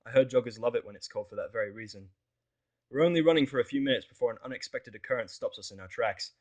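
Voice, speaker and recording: A man narrating a fan fiction audio drama in the first person, expressive and wry. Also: medium pitch, fast.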